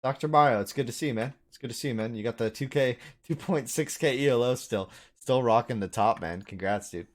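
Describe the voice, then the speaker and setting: A man's voice: medium pitch, brisk. Casual male game streamer commentating. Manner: chatty, informal, addresses chat as dude and buddy. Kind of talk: casual live esports commentary, chatting with viewers.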